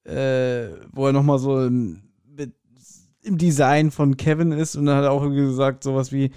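A man's voice: medium pitch, fairly even intonation, moderate pace, warm tone, clean tone, dry recording, studio quality. A man co-hosting a podcast in casual chat, giving his opinions in slangy, explanatory talk. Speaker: podcast co-host.

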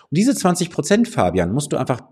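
Husky man with a cold. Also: a little high, huge pitch swings, fairly quick, darkish tone. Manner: addresses listener directly, emphatic, persuasive. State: slightly hoarse from a cold. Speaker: financial advisor and podcast host. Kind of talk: direct-to-camera advice monologue. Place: office.

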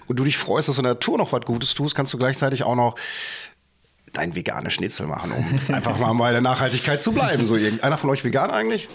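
A man's voice: medium pitch, big pitch swings, quick pace, vocal fry, slightly rough. A man hosting a podcast, a chatty storyteller who jokes and laughs often. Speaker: podcast host. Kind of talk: casual chat.

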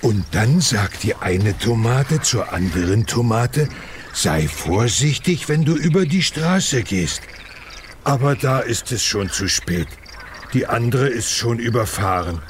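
A man playing a good-natured talking elephant in a children's audio drama, telling corny jokes in a ponderous, drawn-out way. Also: mid-range voice, expressive, a little hiss or hum. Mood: jovial joke-telling. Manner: slow, drawn-out storytelling.

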